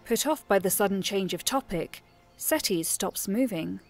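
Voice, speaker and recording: A woman's voice: mid-range voice, lively intonation, unhurried, faint music behind. A woman narrating a scripted fantasy audio drama.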